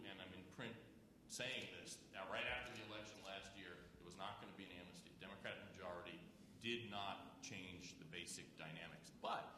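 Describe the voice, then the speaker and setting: A man arguing his point on a panel, restarting sentences and filling pauses as he goes. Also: lowish voice, noisy background. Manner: argumentative, frequent restarts and fillers. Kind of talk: panel discussion. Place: lecture hall. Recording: echoey lecture hall.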